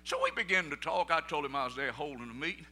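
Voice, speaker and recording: A middle-aged man with a Southern American accent preaching a sermon, telling folksy stories in plain vernacular and building up to loud preaching peaks. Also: on the high side, fast talker, slight background noise. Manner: folksy storytelling, rising to shouted preaching peaks.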